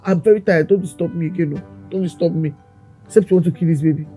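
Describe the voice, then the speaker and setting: A woman's voice: on the low side, fast talker, dark tone, faint music behind, natural voice. A young woman with a Nigerian accent playing a pregnant wife who scolds and cries with repeated questions. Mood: sullen. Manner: tearful, scolding, repetitive questions. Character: pregnant wife, tearful and accusing. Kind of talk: Nollywood-style skit acting.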